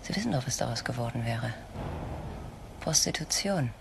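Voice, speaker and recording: A woman's voice: rumbling low, expressive, moderate pace, vocal fry, background noise, exaggerated voice. An actress playing a guarded, protective woman in a German radio crime drama, speaking insistently and articulately. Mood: grave, insistent. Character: controlling, protective woman shielding a frightened younger friend.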